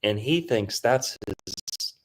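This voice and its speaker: A man's voice: medium pitch, expressive, slow pace. A man hosting a podcast, casually breaking down a movie in a loose, rambling way with lots of fillers. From Florida. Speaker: podcast host.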